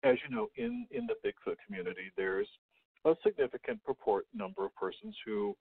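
A male radio host chatting in an effusive, halting way, repeating himself, over a phone-like line. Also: medium pitch, wildly swooping pitch, creaky, breathy edge, no room sound. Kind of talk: casual radio interview. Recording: phone-like narrow band line.

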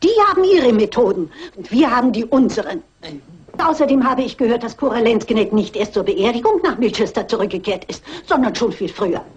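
An older actress playing a shrewd, stubborn elderly lady sleuth in a crime radio play, brisk, dignified and self-assured. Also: fairly high pitch, animated, darkish tone, lo-fi sound, close to an everyday voice. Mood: determined.

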